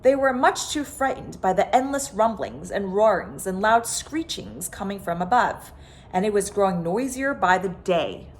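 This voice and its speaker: A woman reading a children's picture book aloud, expressive and dramatic, stretching words for emphasis. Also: mid-range voice, normal speed. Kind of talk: animated children's story reading.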